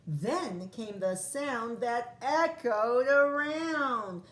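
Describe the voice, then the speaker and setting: A woman's voice: a little high, lively intonation, very slow, very noisy. A woman first-grade teacher reading a picture book aloud to young children, expressively drawing out lines and adding chatty asides.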